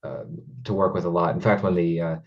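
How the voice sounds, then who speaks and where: A man hosting an interview, speaking casually and haltingly, often repeating words as he thinks aloud. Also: deep voice, flat delivery, dull audio. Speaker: interview host.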